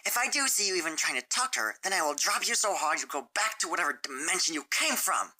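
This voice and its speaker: A woman voicing a brash, aggressive, protective teenage tomboy in a fan story reading, forceful and confrontational. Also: lowish voice, sing-song, fast, pitched above the performer's own voice, exaggerated voice. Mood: threatening.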